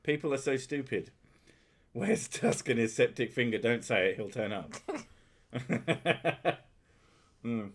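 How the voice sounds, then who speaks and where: A man's voice: mid-range voice, expressive, slow pace, pauses often, husky. A man, chatting casually with his partner on a stream, swearing freely and mocking himself. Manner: sweary, self-mocking, loud laughing. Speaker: streamer host. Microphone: headphones and desk mic.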